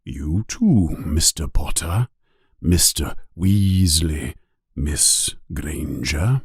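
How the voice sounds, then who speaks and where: A man voicing a calm, grave elderly wizard headmaster, soft-spoken and measured, with a husky, breathy voice, for a storybook. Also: rumbling low, no room sound, studio quality, pitched below the performer's own voice, full character voice. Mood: calm authority.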